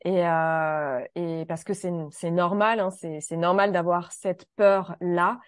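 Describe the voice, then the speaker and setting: A woman's voice: on the low side, steady tone, slow pace, clear, resonant tone, dry recording, studio quality. A woman hosting a casual conversation about learning French, thoughtful and searching for her words with light laughs.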